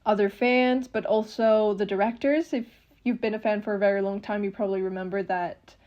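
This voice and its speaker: A woman's voice: medium pitch, steady tone, brisk, a little mellow. Young French woman, muffled home recording. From France. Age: 20s. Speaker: listener submitting a recording. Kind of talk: prepared personal statement.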